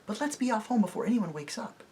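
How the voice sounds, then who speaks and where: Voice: mid-range voice, sing-song, fast, darkish tone, slightly rough, voice pushed up. A reader voicing a brave, cheeky young girl who talks eagerly. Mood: lively. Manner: eager, pleading.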